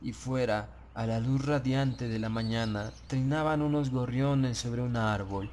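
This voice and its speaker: A man narrating a gothic tale as an audiobook, in a solemn, measured, literary delivery with phrases that fall away at the end. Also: medium pitch, darkish tone, a little hiss or hum. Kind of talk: gothic horror story narration in Spanish, first person. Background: background music.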